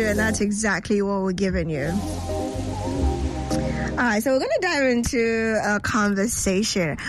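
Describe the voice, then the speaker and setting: Bubbly, high-voiced woman radio host. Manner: bubbly, chatty, laughs often. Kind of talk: casual radio interview. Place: radio studio.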